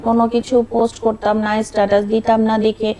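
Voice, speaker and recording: A woman's voice: fairly high pitch, monotone, brisk, dark tone. A middle-aged woman with a Bangladeshi accent, an interview guest telling a personal story conversationally and quoting remembered dialogue. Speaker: interview guest, bereaved mother.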